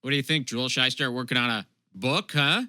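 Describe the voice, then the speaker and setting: A man's voice: medium pitch, quick pace, bright, forceful tone, dry recording, clean studio sound. A man hosting a comedy show, casually commenting on clips in a sarcastic, heckling way. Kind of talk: mocking commentary over clips, casual chat.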